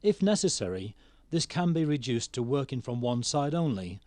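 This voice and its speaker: A man reading scripted instructional narration for a training film in a formal, measured way. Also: medium pitch, big pitch swings, mellow, no room sound. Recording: noisy 1990s training video, engine sounds.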